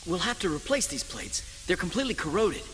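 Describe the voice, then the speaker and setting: A man playing a thoughtful, gentle young space cadet in an animated film dub. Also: sits high, a little fast, soft background music. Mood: calm, reassuring.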